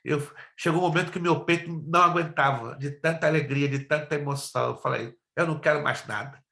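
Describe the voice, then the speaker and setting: A man's voice: fairly high pitch, a little fast, creaky, pushed and bright. Emphatic Brazilian male pastor, roomy echo. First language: Spanish. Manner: emphatic, exhorting, repeats phrases, puts questions to listeners. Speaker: pastor teaching a theology class. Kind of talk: preacherly lesson with personal testimony. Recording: roomy, echoing sound.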